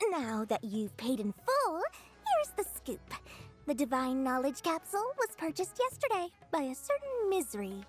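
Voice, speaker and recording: Greedy little shopkeeper girl from a video game, high and animated, oily flattering sales talk, faint music. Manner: theatrical, ingratiating, drawn-out sales patter. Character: small, greedy, wheedling female merchant, slyly flattering customers.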